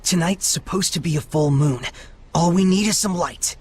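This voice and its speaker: Slightly high, earnest young hero. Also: male voice, normal speed, darkish tone, husky. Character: earnest good-natured young male hero. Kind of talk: English video game dub acting.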